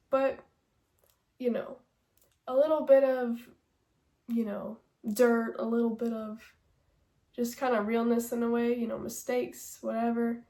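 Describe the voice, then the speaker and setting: A woman's voice: fairly high pitch, warm tone. A young woman with a stuffy nose from a cold, chatting casually and rambling through an album ranking with lots of fillers. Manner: rambling, many fillers and hedges. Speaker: music vlogger.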